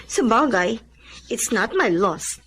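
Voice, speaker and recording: Whiny, proud young Filipina jobseeker for radio drama; very high voice, highly animated intonation. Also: female voice, takes it slowly, a little hiss or hum, exaggerated voice. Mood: upset, sulky. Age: young adult. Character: sulky, proud young female college graduate, picky jobseeker.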